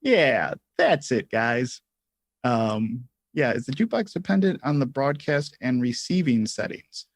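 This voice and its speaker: Guy hosting a laid back software tutorial stream, kinda halting, thinking out loud, close dry mic. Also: mid-range voice, expressive, dry recording. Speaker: livestream host and software instructor. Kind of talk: casual live software tutorial.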